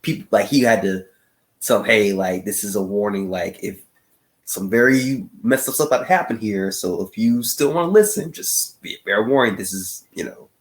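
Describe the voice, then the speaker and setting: A man co-hosting a podcast, explaining things casually and haltingly with many fillers. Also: on the low side, expressive. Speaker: podcast co-host. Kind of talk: casual discussion.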